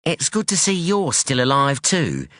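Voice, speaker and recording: Casting: man doing a blunt, defiant young woman in an audiobook, raised and lively, close dry sound. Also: medium pitch, a little slow, no room sound, pitched above the performer's own voice. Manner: blunt, challenging, insistent. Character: sharp, defiant young woman, street-tough daughter. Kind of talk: audiobook dialogue.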